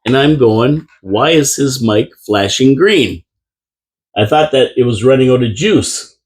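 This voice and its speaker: A man's voice: mid-range voice, lively intonation, unhurried, slightly rough. A man hosting a live local radio talk show from a small studio, chatty and rambling with lots of restarts. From Canada. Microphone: studio microphone.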